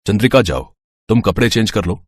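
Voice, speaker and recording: A man playing a gentle, sweet-talking young suitor in a dramatised story, soothing and coaxing. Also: fairly low pitch, animated, fairly quick, husky. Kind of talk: dramatised Hindi moral story.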